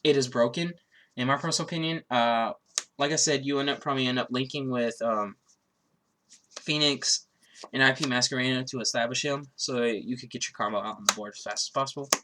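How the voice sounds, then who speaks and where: A man's voice: medium pitch, a little fast, noisy background. A man who is a little sick, with a stuffy nose and sore throat, casually walking through a card game deck, rambling with lots of fillers. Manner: rambling, filler-heavy, restarts often.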